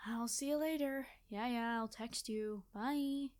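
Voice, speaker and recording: A woman's voice: fairly high pitch, a little slow, a little mellow, clean tone, no room sound, studio quality, natural voice. A woman playing a quirky, chatty time traveler from the future, rambling and flustered, in an ASMR roleplay. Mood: hushed, exasperated. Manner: rambling, flustered, hesitant, cheery. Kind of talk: ASMR storytelling roleplay, one-sided conversation.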